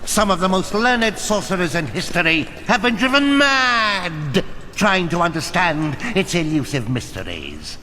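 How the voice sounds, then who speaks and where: An actor playing a theatrical, gloating villain sorcerer in a video game, with a pompous, sneering and mocking delivery. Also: male voice, high-pitched, very animated, unhurried, sharp tone, long reverb tail, heavily acted. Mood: scornful. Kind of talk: video game cutscene acting.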